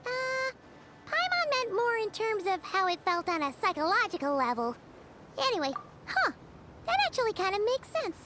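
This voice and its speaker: A woman's voice: very high voice, sing-song, normal speed, heavy background noise. A woman voicing a small, chatty, childlike female fairy companion who exclaims often in a video game. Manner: speaks of herself in third person, exclaiming. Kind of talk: video game character acting.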